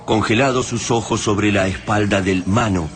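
Low-voiced male radio drama narrator. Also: steady tone, normal speed, noisy background. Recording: music behind the voice.

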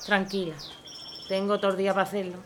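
A woman's voice: mid-range voice, level tone, fast talker, slight background noise, lightly acted. Woman acting a humble, modest working embroideress. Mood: unbothered. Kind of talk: radio drama acting.

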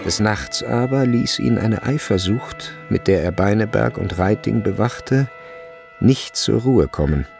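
A man narrating a literary radio drama in a measured, grave and expressive way. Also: on the low side, gravelly, soft background music.

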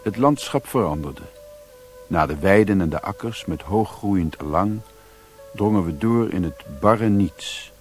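An actor playing a sombre adventurer who narrates his journey in a radio play, with a husky voice. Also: low voice.

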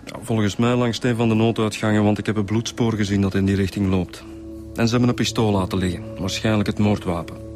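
A man's voice: lowish voice, fairly even intonation, fast, over music, close to an everyday voice. A man playing a methodical, matter-of-fact homicide detective in a radio drama, speaking with a Flemish accent. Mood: factual, grave. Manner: methodical, factual. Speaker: inspector.

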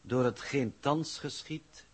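A man's voice: medium pitch, takes it slowly, slightly rough. A man playing an emotionless android coordinator in a radio drama, speaking in a formal, pedantic, detached manner. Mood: calmly advising. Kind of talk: 1960s radio drama acting.

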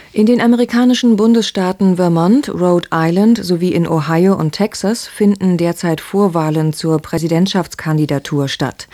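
Dark-voiced woman reading radio news. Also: lowish voice, no echo. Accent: standard German. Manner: formal, measured delivery. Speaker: radio newsreader. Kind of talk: scripted news bulletin reading.

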